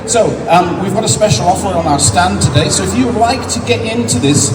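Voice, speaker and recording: An English man presenting at a tech conference, explaining in a casual way and often restarting his sentences. His voice sits a little high. He is picked up from a distance in a large reverberant hall, with loud crowd ambience behind him. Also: lively intonation, fairly quick, heavy background noise. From England. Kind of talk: live tech demo talk. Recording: echoey hall recorded from far off with loud ambience.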